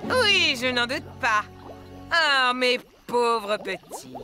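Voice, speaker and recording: A woman's voice: high voice, very animated, extremely slow, sharp tone, exaggerated voice. A woman voicing a vindictive witch in a cartoon, theatrical, cajoling and menacing by turns. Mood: falsely sweet. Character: vindictive old witch, falsely sweet, easily offended. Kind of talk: French cartoon dubbing. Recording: music behind the voice.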